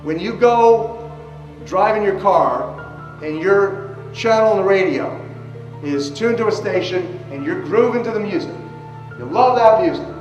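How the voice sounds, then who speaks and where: A man giving an explanatory lecture in an emphatic way, with deliberate phrasing. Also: high-pitched, lively intonation, darkish tone, highs cut off. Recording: roomy, distant mic, music underneath. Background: music.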